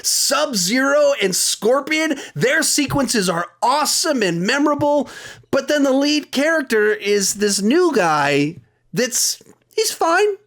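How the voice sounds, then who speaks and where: A man in his thirties hosting a ranked movie review, talking in a lively way with sudden jumps in pitch for emphasis. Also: slow.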